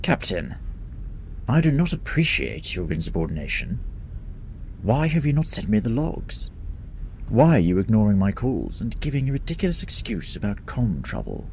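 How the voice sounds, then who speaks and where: A man playing a menacing, imperious officer of a covert agency, speaking sternly and deliberately in science-fiction drama. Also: fairly low pitch, very animated, gravelly, darkish tone, a little hiss or hum. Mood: indignant. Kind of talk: dramatic dialogue.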